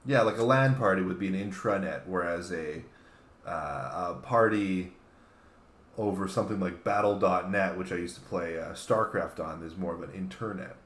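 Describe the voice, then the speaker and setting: Low-voiced man in an echoey room. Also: moderate pace, a bit bright. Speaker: talk show co-host. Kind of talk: casual chat.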